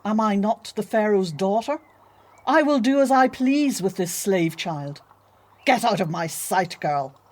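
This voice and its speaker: Imperious princess, animated delivery. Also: female voice, mid-range voice, measured pace. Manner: commanding, haughty. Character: imperious, willful Egyptian princess, softening to babies. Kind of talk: radio drama acting.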